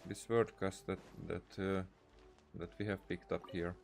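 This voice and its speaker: Lowish-voiced man hesitantly reading game dialogue. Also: flat delivery, a little slow, gravelly. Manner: hesitant, many "uh"s and restarts. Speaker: let's play streamer. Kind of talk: casual gameplay commentary, reading dialogue aloud.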